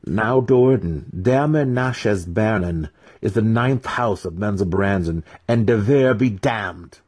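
A man voicing a confident, cynical male dark elf noble with a haughty manner in a fantasy audiobook. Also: fairly low pitch, takes it slowly, breathy edge, voice pushed up. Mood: smug, confident. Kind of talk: dramatic fantasy audiobook reading.

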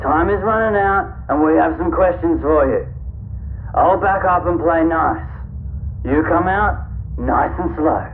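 An actor playing a taunting, suspicious armed interrogator in a sci-fi audio drama, sarcastic and menacing through a radio filter. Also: male voice, a little high, measured pace. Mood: mocking. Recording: tinny radio sound with faint noise.

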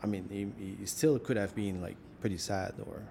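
A man's voice: on the low side, steady tone, moderate pace, gravelly, mellow, slight background noise. A man interviewing a guest in a casual chat, thinking aloud and filling his questions with "like". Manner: rambling, thinks aloud with many "like"s.

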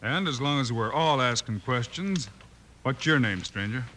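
A heroic frontier marshal, played by a man, calm and wry on an old radio Western. His bright voice has lively inflection and easy assurance. Faint noise sits on the broadcast. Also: mid-range voice, moderate pace, lightly acted. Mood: coolly probing. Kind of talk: 1950s radio Western acting.

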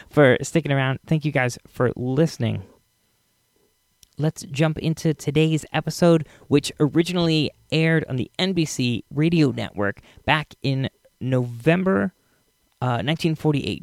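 Guy hosting a casual podcast, kinda high voice, rambly and enthusiastic, close dry sound. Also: a little slow, no room sound. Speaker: podcast host.

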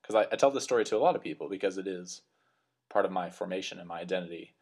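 West texas guy, 30s, very low voice, reflective and a bit self-conscious, podcast into his computer. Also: rapid-fire, a little mellow. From West Texas Panhandle, US. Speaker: minister and podcast host. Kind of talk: solo podcast monologue. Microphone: computer microphone.

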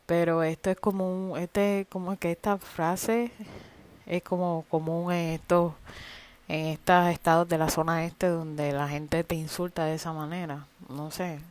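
A woman's voice: fairly low pitch, flat delivery, a little slow, darkish tone. A woman from Puerto Rico with a Puerto Rican accent, hosting a casual solo podcast, rambling with many fillers and some cursing. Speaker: podcast host.

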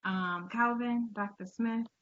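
A woman's voice: on the high side, fairly even intonation, takes it slowly, fairly bright tone, muffled recording. A woman hosting a conversational interview in an enthusiastic, encouraging manner. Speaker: show host.

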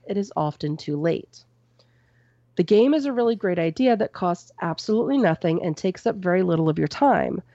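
A woman co-hosting a podcast from home on a boom-arm mic. She reads out a news story and then chats about it loosely, with plenty of "um" and "you know". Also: creaky, warm tone, no room sound, lo-fi sound. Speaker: podcast co-host reading news. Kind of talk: casual news chat. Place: at home with a cat nearby. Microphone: microphone on a boom arm.

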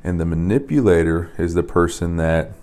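A young man from Las Vegas hosting a podcast, telling stories in a loose, rambling way with repeated words and soft laughs. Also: deep voice, normal speed. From Las Vegas, USA. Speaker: podcast host and coach. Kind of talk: casual storytelling monologue.

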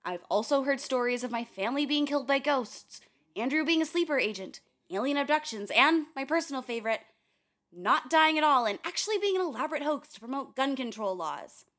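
A woman's voice: sits high, expressive, a little fast, a bit bright. An actress in a radio play voicing an earnest, curious young woman, speaking in a candid, conversational way with wry touches. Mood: defensive, reflective. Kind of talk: radio play dialogue and recorded diary.

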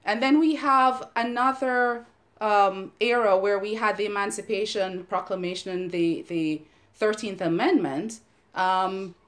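A middle-aged woman from Jamaica with a Jamaican accent, giving an earnest, measured personal talk as a podcast host and panelist. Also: mid-range voice. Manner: earnest, measured, with some emotion and restarts. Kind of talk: prepared personal talk on race.

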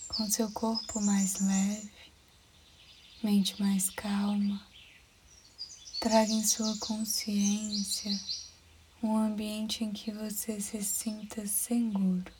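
Slow-paced Brazilian woman guiding meditation. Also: medium pitch, flat delivery. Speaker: meditation guide. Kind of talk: guided meditation narration. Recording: clear background noise with birdsong. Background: birds chirping, outdoor-like ambience.

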